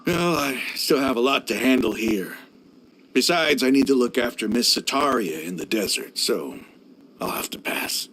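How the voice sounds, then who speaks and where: A man playing an easygoing, confident desert dweller in an anime game, with a relaxed, wry manner. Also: mid-range voice, normal speed, slight background noise, close to an everyday voice. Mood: casual.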